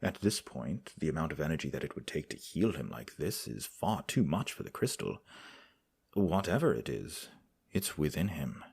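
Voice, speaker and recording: A learned fantasy physician with a very deep voice, husky and full of creak. He speaks formally and sounds puzzled, his pitch moving widely. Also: big pitch swings, normal speed, darkish tone, dry recording, clean studio sound, exaggerated voice. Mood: baffled, awed. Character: perplexed, learned male physician tending a prince. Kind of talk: fantasy audio drama acting.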